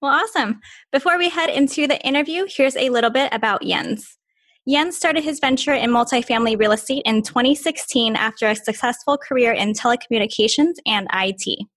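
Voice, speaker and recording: A woman's voice: on the high side, brisk, a bit bright, no room sound. Upbeat woman co-host, dry close sound. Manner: upbeat, reading intro copy. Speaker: podcast co-host. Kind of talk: scripted show introduction.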